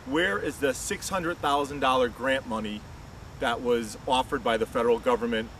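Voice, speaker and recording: A man's voice: medium pitch, moderate pace, a bit bright. A man giving a campaign address as a candidate for mayor, recorded at a distance from the mic with some background noise.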